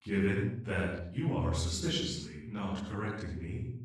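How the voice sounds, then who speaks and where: Echoey, slow-spoken man as an anxious male AI. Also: fairly low pitch, expressive. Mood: tense, restrained. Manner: measured, formal, articulate, hesitant. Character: anxious, polite, humanlike male artificial intelligence awakened after decades. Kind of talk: emotional audio roleplay drama. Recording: echoey, distant-sounding room.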